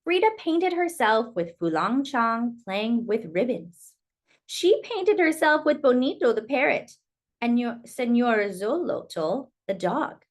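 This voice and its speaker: A woman with a fairly high, very animated voice reads a picture book aloud for young children. She delivers the story expressively and turns to her listeners with exclamations and asides about the illustrations. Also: slow pace.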